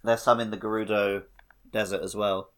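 A man co-hosting a gaming podcast in relaxed, casual conversation with friends. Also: on the low side, normal speed. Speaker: podcast co-host.

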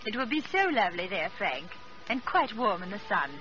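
A bright, gentle wife on an outing. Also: female voice, medium pitch, big pitch swings, moderate pace, faint music behind. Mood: cheerful. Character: gentle middle-aged wife on a country outing. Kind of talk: 1940s radio drama acting. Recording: dull lo-fi 1940s radio broadcast.

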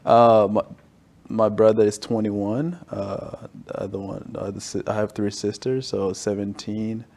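A man in his twenties who comes from Tanzania talks as a guest in a casual interview. His voice sits a little low, and he tells things conversationally, often checking in with short tags. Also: flat delivery, unhurried, gravelly, a little mellow. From Tanzania, moved to the US at ten. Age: 20s. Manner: frequent "you know" and "right?" tags. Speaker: interview guest, staff accountant.

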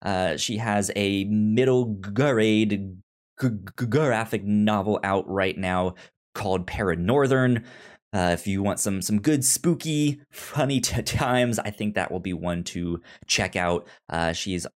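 A man's voice: on the low side, measured pace. A man hosting a podcast in casual conversation, often stammering and repeating syllables and words. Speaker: podcast host.

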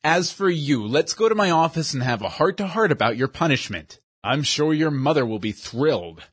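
A man's voice: on the high side, big pitch swings, normal speed, slightly rough, no echo. A man voicing a stern, middle-aged school principal who lectures the students.

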